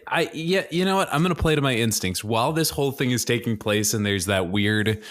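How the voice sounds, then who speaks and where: A man's voice: mid-range voice, brisk, fairly bright tone. A man playing a tabletop game, improvising casually as he describes what his character does.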